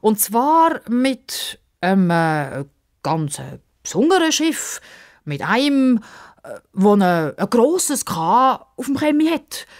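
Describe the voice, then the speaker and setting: Female narrator as old man, hesitant, stammering, grumbling, embarrassed, slow storybook delivery. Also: fairly high pitch, very animated, voice pushed up, full character voice. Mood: stalling. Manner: halting, stammering, hemming and hawing.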